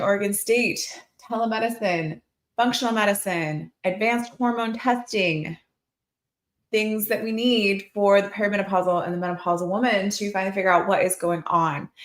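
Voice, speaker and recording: American woman in her forties, echoey room. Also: mid-range voice. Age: 40s. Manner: chatty, informal, expressive, addressing viewers directly. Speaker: nurse practitioner hosting a livestream Q&A. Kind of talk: casual livestream Q&A chat. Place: indoor clinic room under construction. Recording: echoey, roomy livestream sound.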